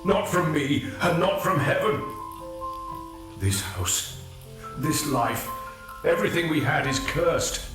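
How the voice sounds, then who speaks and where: A bitter, self-righteous husband, played by a man spits religious scorn, his voice swinging wildly up and down. He sits a little high for a man. The recording is awash in heavy echo with clear music underneath. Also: very animated, slow pace, pauses often. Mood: furious. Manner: venomous, preaching, full of religious scorn. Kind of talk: audio drama acting.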